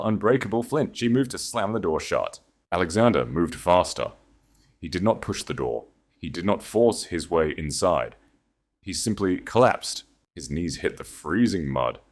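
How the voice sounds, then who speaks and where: Deep-voiced male story narrator. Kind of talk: dramatic audiobook narration.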